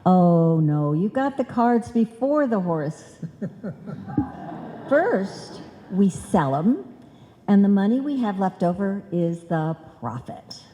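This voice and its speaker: In a reverberant hall, an actress plays a dizzy, bossy wife from old-time radio comedy. Her voice is soft-edged and takes its time. She delivers muddled logic and wrong words with total confidence. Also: medium pitch, sing-song, slow pace, slight background noise. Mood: breezy, scheming. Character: scatterbrained, bossy married woman hatching a money-making scheme. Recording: live hall recording, long reverb.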